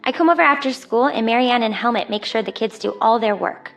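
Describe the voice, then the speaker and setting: Eager fifteen-year-old girl, higher pitch, polite and spirited, dramatized scene with music. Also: fast talker, vocal fry, a bit bright. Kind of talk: audio drama acting. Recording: music under the voice.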